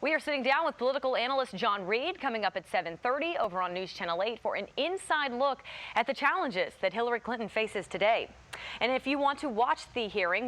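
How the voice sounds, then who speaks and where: A woman's voice: medium pitch, a bit bright. A woman working as a television morning news anchor, reading scripted news in broadcast style.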